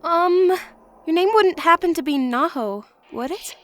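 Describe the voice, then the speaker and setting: A woman's voice: very high voice, animated, a little slow, a bit bright, heavily acted. A woman voicing an earnest, emotional teenage schoolgirl in an anime-style game dub.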